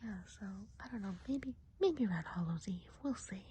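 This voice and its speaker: A woman voicing a chatty woodland witch in an ASMR roleplay, whispering softly and breathily in a gossipy, confiding way. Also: mid-range voice, expressive, normal speed, very noisy, close to an everyday voice. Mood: chatty, enthusiastic. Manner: gossipy, confiding, hesitant casual phrasing. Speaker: ASMR performer.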